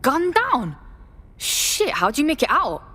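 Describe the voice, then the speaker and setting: A streetwise young Londoner in a game. Her voice is rather high and very animated, blunt and guarded, full of slang. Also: takes it slowly, heavily acted. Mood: wary. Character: streetwise, defiant young female recruit. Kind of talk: video game voice acting.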